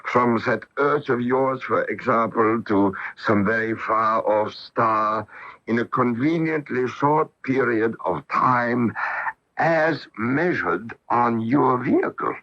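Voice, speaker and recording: An actor playing an ancient, courteous man of a far-future world in a radio drama, speaking in measured, formal phrases. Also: mid-range voice, fairly bright tone. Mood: calm, knowing. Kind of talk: 1980s radio drama acting. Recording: dull lo-fi AM radio broadcast.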